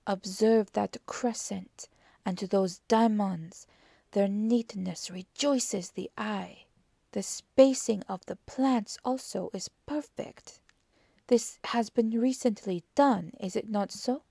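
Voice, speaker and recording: Woman voicing a deliberate, methodical gentleman detective. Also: medium pitch, vocal fry, husky, no room sound, clean studio sound, exaggerated voice. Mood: admiring. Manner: deliberate, formal, exclamatory phrasing. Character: precise, methodical male detective, courteous and self-assured. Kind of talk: audiobook character dialogue.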